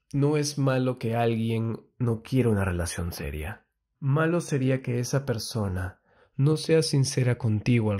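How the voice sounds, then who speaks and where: A man giving a soft, intimate ASMR monologue in a breathy voice that often drops to a whisper, soothing and confiding, close to the mic. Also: mid-range voice. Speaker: ASMR host giving love advice. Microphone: very close ASMR microphone.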